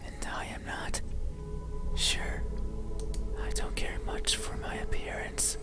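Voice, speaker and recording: A performer voicing an eerie, melancholic faceless creature in a horror game, speaking in a halting, intense whisper. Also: loud music behind the voice, close to an everyday voice. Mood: brooding, wistful. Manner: slow, halting, stiff and intense. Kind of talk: whispered horror ASMR monologue.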